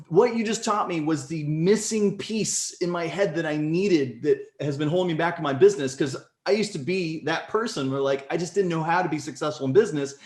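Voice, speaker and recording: A man in his forties telling his story as a podcast guest in casual conversation, animated and leaping up in pitch when excited. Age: 40s. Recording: echoey room.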